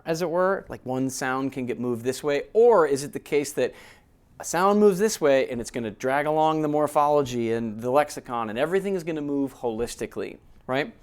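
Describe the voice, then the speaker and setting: A man lecturing in a relaxed, conversational way, explaining ideas step by step and often checking in with his listeners. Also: medium pitch. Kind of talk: academic lecture.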